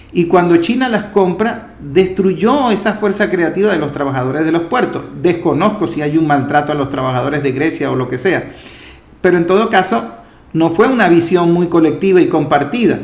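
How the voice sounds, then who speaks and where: A Venezuelan man interviewing on politics, laying out reflective arguments in a discursive way. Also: sits high. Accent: Venezuelan Spanish. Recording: roomy echo.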